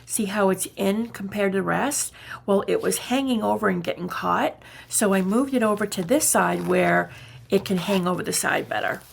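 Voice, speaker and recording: A woman with a slightly raspy morning voice, chatting casually and haltingly as she narrates a craft show-and-tell. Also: mid-range voice. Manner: unhurried, chatty, halting as she shows items. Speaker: craft vlogger.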